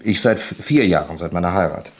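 A courteous son-in-law in a vintage radio thriller. His voice is deep, his manner measured and deferential, with small hesitations as he explains himself. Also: animated, breathy edge. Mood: measured, polite. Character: polite, hesitant son-in-law, married businessman. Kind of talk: 1970 German radio play acting.

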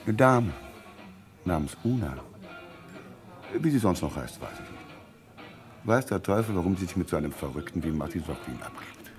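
A man's voice: low-pitched, lively intonation, normal speed, pauses often, soft background music. A man playing an evasive, slangy gossip being questioned in a radio crime drama, with an offhand manner.

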